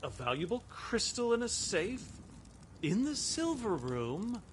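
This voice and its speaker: A man's voice: sits high, very animated, unhurried. A man playing a sly, scheming eavesdropper in a video game, musing with intrigue. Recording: clear noise behind.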